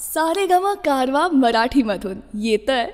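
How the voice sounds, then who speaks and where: A woman's voice: high voice, lively intonation, slow. A woman hosting a radio show of classic film songs, talking conversationally to her listeners. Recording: long reverb on the voice.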